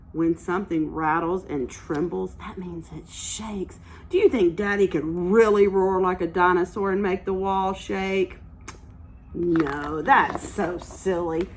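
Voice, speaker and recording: A woman's voice: fairly low pitch, slight background noise. A woman teacher reading aloud to young children, explaining simply and asking encouraging questions as she goes. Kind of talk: interactive read-aloud lesson for young children.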